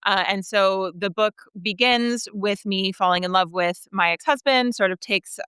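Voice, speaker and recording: A woman's voice: mid-range voice, a little slow, fairly bright tone, clean tone, dry recording. A woman in her thirties talking candidly about her own life as a writer being interviewed. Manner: candid, chuckles as she talks. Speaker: writer, podcast guest. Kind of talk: conversational interview, personal storytelling.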